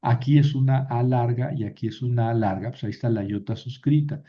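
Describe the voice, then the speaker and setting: Man, grammar teacher, steady mid voice, asks and answers his own questions; muffled recording. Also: medium pitch, a little fast. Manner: patient, explains step by step and repeats key rules. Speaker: Greek teacher. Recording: dull lo-fi sound.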